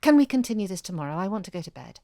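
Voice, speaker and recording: A woman's voice: medium pitch, sing-song, racing, warm tone, no room sound, studio quality. An actress playing a blunt, busy single mother who ghostwrites, speaking in a matter-of-fact, naturalistic way. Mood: tired, frank. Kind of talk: audio drama acting, naturalistic.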